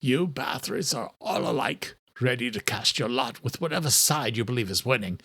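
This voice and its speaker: A man voicing the cold, menacing male leader of a vampire brotherhood, talking in a measured, parental way. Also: medium pitch, animated, fairly bright tone, husky, no room sound.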